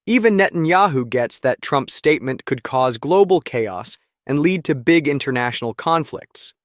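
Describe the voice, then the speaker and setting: A man narrating news clips in a brisk, critical voice-over. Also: on the high side, expressive, dry recording. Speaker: news commentator.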